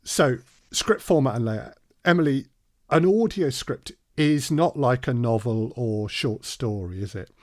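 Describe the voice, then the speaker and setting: A man co-presenting a workshop, steering it in a conversational but orderly way with measured, polite phrasing. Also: mid-range voice, animated, darkish tone, no room sound, studio quality. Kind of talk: structured workshop hosting in conversational tone.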